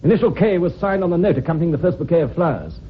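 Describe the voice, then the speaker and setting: An actor playing a shrewd, unhurried police inspector, measured and wry, in an old-time radio drama. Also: male voice, on the high side, lively intonation, lightly acted. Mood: confident. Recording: dull, hissy old radio recording.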